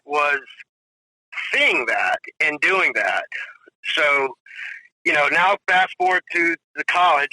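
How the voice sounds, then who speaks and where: Bright-voiced drummer man in his forties. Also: on the high side, no room sound, rough recording. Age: 40s. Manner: rambling, fillers like "you know" and "if you will". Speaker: drummer guest. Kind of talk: casual interview storytelling.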